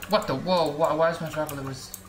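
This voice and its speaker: A man's voice: fairly high pitch, steady tone, fast talker, fairly bright tone, faint music behind. A man streaming a video game, giving short, casual reactions as he plays. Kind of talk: casual live gameplay reactions. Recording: echoey room, faint noise.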